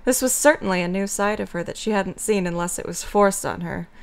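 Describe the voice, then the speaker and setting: Mid-pitched woman narrating a story. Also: a little fast.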